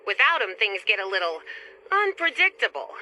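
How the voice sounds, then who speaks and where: A woman playing an earnest, excitable young engineer in a retro radio drama. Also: medium pitch, normal speed, a little hiss or hum, low-quality recording. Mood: puzzling, excited. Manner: exclamatory, plucky.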